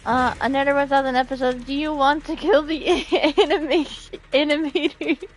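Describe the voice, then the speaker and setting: A woman chatting casually on a game live stream, with a giggly, excitable manner. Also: high-pitched, moderate pace, rough recording. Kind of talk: casual live-stream chat, reading out comments.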